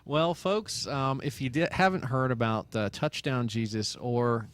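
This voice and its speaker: American man, medium-pitched, halting casual talker. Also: fairly bright tone, slight background noise. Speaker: talk show co-host. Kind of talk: casual chat. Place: studio of a local TV station, small audience.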